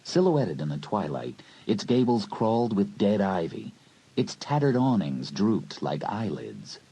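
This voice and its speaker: A man narrating a horror audiobook in a measured, brooding storyteller's manner. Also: lowish voice, big pitch swings, mellow, breathy edge, heavily acted, rough recording. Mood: eerie. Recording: hissy old cassette recording.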